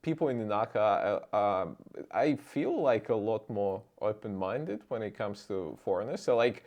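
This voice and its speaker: Low-pitched man hosting a casual interview. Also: expressive, moderate pace, darkish tone. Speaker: interviewer and channel host.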